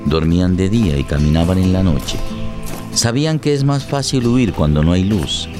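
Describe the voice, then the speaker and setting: A male narrator with a low voice tells a radio play. His delivery is scripted and dramatic, with music clearly playing behind him. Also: animated, normal speed.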